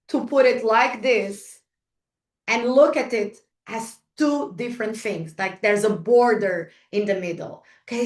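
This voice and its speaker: A woman with a Portuguese accent, a Portuguese native speaker, giving a chatty motivational workshop talk to an online group, full of restarts and small laughs. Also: on the high side. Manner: chatty, frequent restarts and fillers, laughs at herself. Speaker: guest speaker. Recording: echoey room, distant from the mic.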